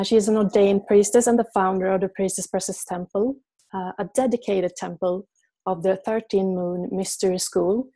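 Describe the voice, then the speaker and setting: A woman with a Swedish accent hosting an interview, welcoming and gentle. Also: mid-range voice. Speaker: host.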